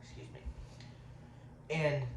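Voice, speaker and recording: Man, 30s, casual eating vlog, rambling, lots of um, distant mic, roomy echo. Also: takes it slowly. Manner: rambling, says um a lot. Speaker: vlogger. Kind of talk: casual chat while eating on camera. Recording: roomy, distant, faint hiss.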